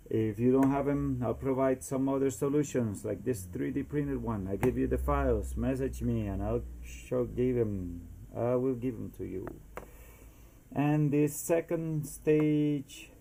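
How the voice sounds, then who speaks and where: Halting Costa Rican man, slightly creaky voice. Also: medium pitch, warm tone, a little hiss or hum. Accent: Costa Rican Spanish. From Costa Rica. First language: Spanish. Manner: halting, searching for words, with Spanish filler words. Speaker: amateur inventor demonstrating a homemade device. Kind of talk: informal explainer video.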